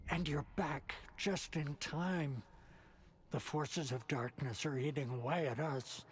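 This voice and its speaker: Slow, portentous old rocker grandfather. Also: on the high side, fairly even intonation, a little hiss or hum. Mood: solemn, affectionate. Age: older. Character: old male rocker seer, grandfatherly, cryptic, salty-tongued. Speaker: actor in a video game. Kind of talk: dramatic game cutscene acting.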